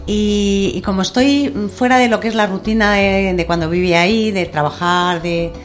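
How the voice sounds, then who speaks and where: A woman's voice: medium pitch, steady tone, moderate pace, faint music behind. A woman from Madrid chatting on a radio panel in a thoughtful, hesitant way. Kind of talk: casual radio chat.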